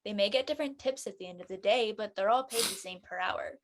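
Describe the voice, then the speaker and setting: A woman's voice: medium pitch, fast talker, lightly acted. A woman playing an earnest, explanatory teenage girl who talks in casual slang in a drama read. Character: earnest churchgoing teenage girl, preachy friend.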